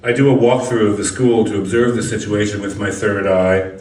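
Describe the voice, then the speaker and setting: Low-voiced man reading, echoey and distant. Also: fairly even intonation, fairly quick, darkish tone. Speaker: reader of a short story. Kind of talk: scripted narration, live literary reading. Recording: echoey room, distant mic, background noise.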